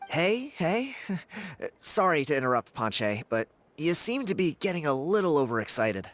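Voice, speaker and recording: A man's voice: fairly high pitch, big pitch swings, unhurried, fairly bright tone. A man playing an easygoing, skeptical young friend in a video game, talking in a casual, teasing way. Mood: amused.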